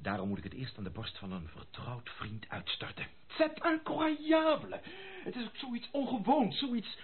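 An actor playing an excitable, effusive young gentleman heir in a radio drama, exclaiming and stammering when agitated. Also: extremely high pitch, unhurried, heavily acted. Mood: excited, astonished. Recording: muffled lo-fi radio play recording.

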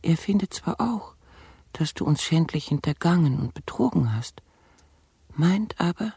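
A woman playing a pious, genteel lady in a radio drama, reading formally and solemnly in a husky, breathy voice. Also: deep voice, expressive, measured pace, warm tone, dry recording, exaggerated voice. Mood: reproachful. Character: pious, genteel woman forgiving the man who cheated her.

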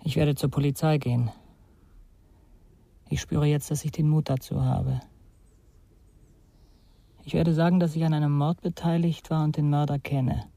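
A haunted, resigned young man in a radio drama. He confesses in a subdued, halting way, with a fairly high male voice that is breathy and husky, recorded close and dry. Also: level tone, mellow, no room sound. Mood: resigned, fearful. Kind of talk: German radio crime drama acting.

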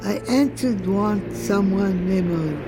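An older woman from Lebanon reading her own literary text in a measured, contemplative, poetic way. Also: mid-range voice, noisy background. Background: music.

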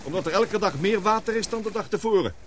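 Gloomy scientist in a radio play, serious and deliberate, bit of noise. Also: male voice, high voice, animated. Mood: grim. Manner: grave, deliberate, explanatory. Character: gloomy, guilt-ridden male scientist on a doomed expedition. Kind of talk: radio drama acting.

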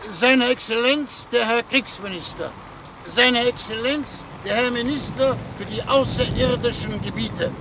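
An actor playing a pompous foreign minister who speaks in halting, ceremonious phrases in a 1950s German radio drama. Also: male voice, very high voice, exaggerated voice, rough recording. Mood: solemn, pompous. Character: pompous male foreign minister, a stately diplomat. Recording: loud storm noise, old radio play.

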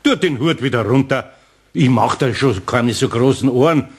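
An actor playing a good-natured older master carpenter with a Bavarian accent, fumbling for words and chuckling. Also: male voice, mid-range voice, moderate pace, close to an everyday voice. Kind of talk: 1960s German radio play acting.

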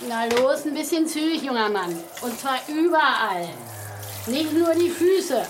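A teasing care nurse, highish voice, brisk and coaxing, radio play, noisy with water sounds. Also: female voice, lively intonation. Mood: brisk, bossy. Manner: bossy, coaxing. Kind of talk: naturalistic radio drama dialogue. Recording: clear noise and water behind the voice.

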